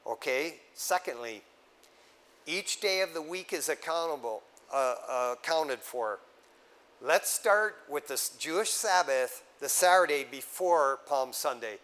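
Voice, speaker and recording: A man preaching a teaching sermon, walking his congregation through scripture point by point. His voice sits a little high for a man, and he talks in an animated, conversational way, tossing in casual asides to keep his listeners with him. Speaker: pastor.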